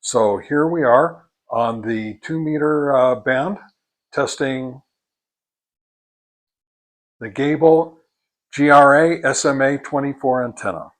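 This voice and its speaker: An older American man giving a casual, unhurried product review, explaining things step by step. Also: medium pitch. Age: older, 60s or above.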